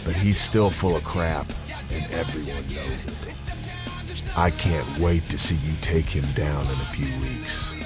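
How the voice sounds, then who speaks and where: A man's voice: extremely low pitch, unhurried, loud music behind the voice. A man voicing a blunt, conversational wrestling figure in a video game cutscene. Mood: scornful.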